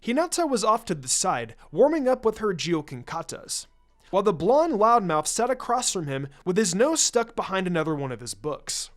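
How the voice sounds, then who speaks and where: Male narrator for fan fiction audiobook, higher-pitched, lively and varied delivery. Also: moderate pace, faint music behind. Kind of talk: scripted story narration.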